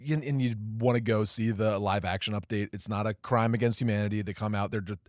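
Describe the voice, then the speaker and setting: A man's voice: lowish voice, fairly even intonation, fast talker, no room sound, studio quality. A young man co-hosting a casual movie review podcast, blunt and wry, swearing casually. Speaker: podcast co-host, film critic.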